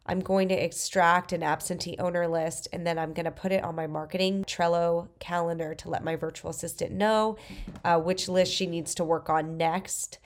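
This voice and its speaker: A woman with a stuffy nose from the flu, casually talking through a software demo and thinking aloud as she clicks. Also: fairly low pitch, fairly even intonation, moderate pace. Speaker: real estate investor. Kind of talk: screen-recorded software walkthrough.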